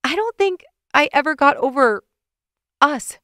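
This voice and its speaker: Lady reading doing a teary flustered young woman, high-ish and strained, dry close audio. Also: expressive, moderate pace, fairly bright tone, dry recording. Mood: upset. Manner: emotional, tearful outbursts.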